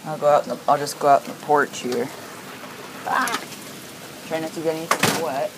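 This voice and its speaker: An excitable amateur storm watcher filming and commenting on the weather in exclamations, often repeating words for emphasis. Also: mid-range voice, moderate pace. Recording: home video with rain noise behind. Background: heavy rain.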